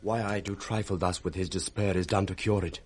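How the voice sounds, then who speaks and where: A man's voice: deep voice, fairly even intonation, fairly quick, a little mellow, slight background noise, lightly acted. An actor playing a loyal, urgent young nobleman in disguise, speaking vivid theatrical verse with a British accent in 1960s radio Shakespeare. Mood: anxious concern.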